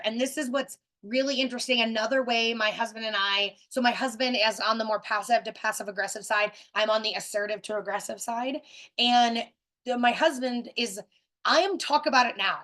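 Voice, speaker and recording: A woman with a somewhat high voice hosts a casual webinar. She speaks directly and with big energy, often restarting her sentences and padding them with fillers. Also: moderate pace, fairly bright tone. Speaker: webinar host. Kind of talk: casual teaching talk.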